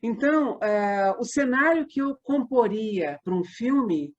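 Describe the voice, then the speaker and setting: A woman's voice: fairly high pitch, lively intonation, slow pace, muffled recording. Brazilian woman reflecting in an interview. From Minas Gerais, Brazil. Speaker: interview guest, radio drama writer and set designer. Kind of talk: conversational interview answers.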